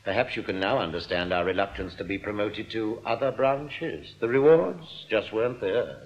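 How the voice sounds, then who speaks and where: An actor in a radio play playing a smugly genial crooked bank manager, urbane, patronising and unruffled. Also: male voice, fairly low pitch, normal speed, slight background noise, natural voice. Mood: smooth, patronising. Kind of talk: radio drama acting. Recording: dull, muffled radio recording.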